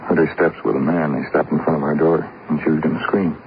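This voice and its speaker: Very deep, bitter blind veteran. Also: male voice, steady tone, quick pace. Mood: grieving. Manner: bitter, terse, broken phrases. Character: bitter, grieving blind male army veteran. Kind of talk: 1950s radio drama acting. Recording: tinny, very noisy old radio recording.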